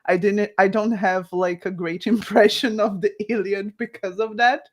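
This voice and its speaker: A woman who grew up speaking Portuguese talks in a loose, animated way. She stops and restarts her sentences often. She leans on lots of "like" and "you know". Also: medium pitch, moderate pace. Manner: animated, many fillers and restarts. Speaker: guest author. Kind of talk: casual livestream chat.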